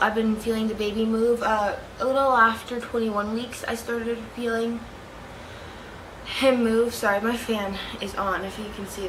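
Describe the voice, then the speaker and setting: A woman's voice: fairly high pitch, rough recording. A young woman with a Canadian accent chatting casually to her camera at home, rambling, correcting herself and giggling. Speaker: vlogger. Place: room at home with a fan running. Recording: steady fan noise behind.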